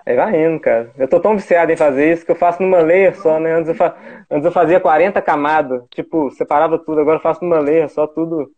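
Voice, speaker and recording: A man's voice: fairly high pitch, fairly even intonation, fast talker, a little mellow. A man, a digital artist, chatting casually and explaining every detail of his drawings with eager care. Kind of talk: casual chat. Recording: tinny phone-like line.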